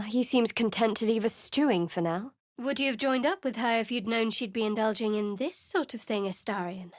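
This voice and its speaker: A woman's voice: fairly high pitch, no echo, natural voice. Shadowheart, a woman voicing a sardonic cleric. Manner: dry, wry and cutting. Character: guarded, sardonic young woman cleric adventurer. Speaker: video game character. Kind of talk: game voice lines.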